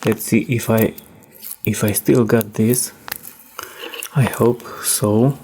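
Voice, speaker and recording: A man talks through a repair casually, thinking aloud as he goes. His delivery is slow and halting, with a soft-edged, mellow tone at a middling pitch. There is faint noise behind him. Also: steady tone, low-quality recording. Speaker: host demonstrating a cassette repair. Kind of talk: casual hands-on repair narration.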